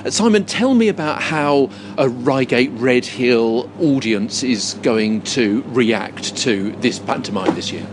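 An English man with a medium-pitched voice presents a casual location interview. He phrases things tentatively and invites agreement, with clear ambient and vehicle noise around him. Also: animated, slow pace, few pauses. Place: inside a large tent, on location.

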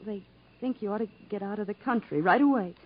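A woman's voice: medium pitch, fast talker, close to an everyday voice. An actress playing a devoted, earnest sweetheart of a man on the run in a 1950s radio drama. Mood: earnest, pleading. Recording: dull, muffled old radio recording.

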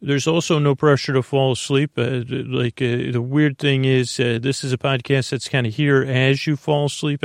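Male sleep-podcast host, mid-pitched with vocal fry, meandering and halting delivery, recorded close and dry. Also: dry recording. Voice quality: creaky. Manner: hesitant, meandering, self-interrupting with many tangents. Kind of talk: rambling bedtime monologue to help listeners fall asleep.

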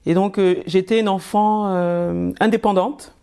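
A woman in her forties from Cameroon who stutters, telling her life story in a podcast with halting, repeated words. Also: medium pitch, fairly even intonation, warm tone. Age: 40s. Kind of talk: personal testimony podcast.

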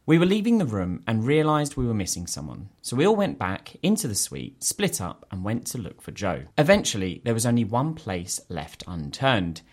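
Man, podcast host, lively storytelling, wide-ranging pitch, reading a letter. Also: slightly rough. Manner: animated, quick storytelling with laughs. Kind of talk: reading a listener letter aloud with chat.

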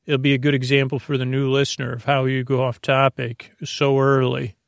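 A man voicing a cutesy imaginary creature companion that patiently agrees and gently prompts in a podcast skit. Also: mid-range voice, monotone, brisk, creaky, no echo, clean studio sound, close to an everyday voice. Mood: agreeable.

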